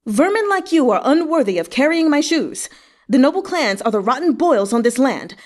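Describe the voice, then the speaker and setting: A woman's voice: high-pitched, sing-song, moderate pace, pitched above the performer's own voice. A woman voicing a proud, furious old male scholar in an impassioned, haughty storybook read-aloud. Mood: haughty defiance. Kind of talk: dramatic read-aloud of a historical novel.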